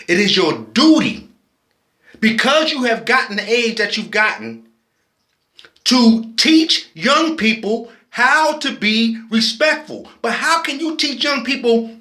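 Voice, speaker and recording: A late-forties man, talking in a very high, bright voice. He is impassioned and preachy, speaking slowly and often restarting his sentences. The sound carries a roomy echo. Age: 40s. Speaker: vlogger. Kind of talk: personal video commentary.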